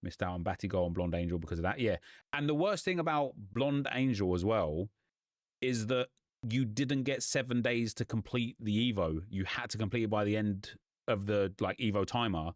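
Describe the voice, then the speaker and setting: A young man, a gaming YouTuber, chatting and ranting casually in a slightly hoarse voice. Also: fairly low pitch, animated, no room sound, clean studio sound. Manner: chatty, ranting, quick asides.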